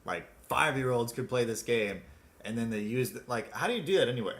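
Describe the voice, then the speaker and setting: A young man co-hosting a casual comedic chat, giggly and animated as he tells stories. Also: mid-range voice, a little fast, creaky. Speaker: co-host.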